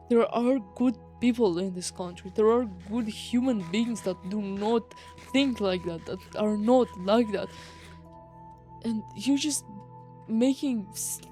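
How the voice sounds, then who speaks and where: A woman's voice: medium pitch, dark tone. A teenage young woman from Romania with a Romanian accent, giving a casual personal rant in an exasperated, slangy, emphatic way peppered with swearing. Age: teenager, high schooler. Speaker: vlogger.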